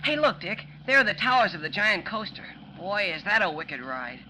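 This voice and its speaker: A man's voice: high-pitched, big pitch swings, normal speed, bright, forceful tone, full character voice. A man acting an eager, slangy teenage boy reporter in a 1940s radio drama. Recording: noisy old radio recording, car drone.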